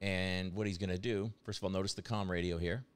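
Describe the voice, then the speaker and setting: Deep, clear-voiced man giving a demo. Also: fairly even intonation, fast talker, no room sound. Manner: explanatory, conversational. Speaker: software demo presenter. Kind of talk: casual screen-share demonstration narration.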